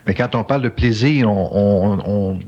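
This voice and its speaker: A man's voice: on the low side, normal speed, darkish tone. A man asking probing questions, often hesitating and restarting. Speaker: interviewer. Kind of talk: interview questions. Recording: dull old cassette tape.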